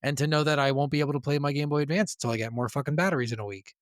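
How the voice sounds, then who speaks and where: A man with an American accent and a somewhat higher-pitched voice talks casually on a podcast. His storytelling is animated and peppered with swearing. The sound is dry, with little room echo. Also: rapid-fire. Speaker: podcast co-host. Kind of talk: casual chat.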